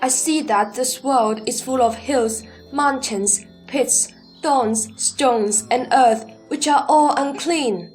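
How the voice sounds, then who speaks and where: A woman playing a questioning, respectful male disciple in a formal, measured scripture audio drama. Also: high-pitched, darkish tone, faint music behind. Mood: matter-of-fact.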